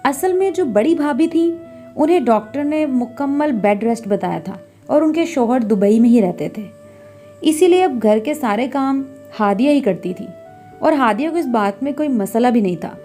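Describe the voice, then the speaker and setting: A woman storyteller reads scripted narration in a high, mellow voice. Music plays beneath her as she tells a domestic tale. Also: lively intonation, normal speed.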